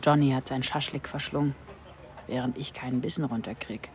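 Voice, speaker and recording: A woman's voice: a bass voice, fairly even intonation, a little fast. An actress playing a worried, exasperated young woman in a radio drama, moving between dialogue and first-person narration. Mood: reflective, tense. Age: young adult. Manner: exasperated, questioning.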